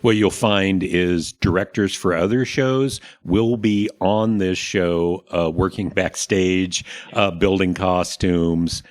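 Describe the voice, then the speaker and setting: A man's voice: low voice, steady tone, takes it slowly, few pauses. A man hosting a casual talk show about local happenings, speaking in a plain-spoken, encouraging way. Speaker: radio show host. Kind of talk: casual theater chat.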